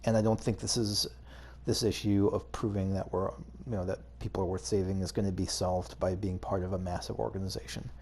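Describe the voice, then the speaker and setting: A man's voice: sits low, level tone, brisk, few pauses, mellow, husky. A man giving casual video game playthrough commentary, hesitantly thinking aloud as he goes. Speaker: gaming video host.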